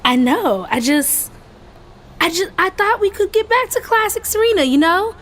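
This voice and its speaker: A woman's voice: extremely high pitch, animated, normal speed, fairly bright tone, a little hiss or hum. An actress playing a sweet, shy, eager-to-please young woman sports fan with a hesitant manner in an audio drama. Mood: shy, hopeful. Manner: hesitant, giggly. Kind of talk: naturalistic audio drama acting.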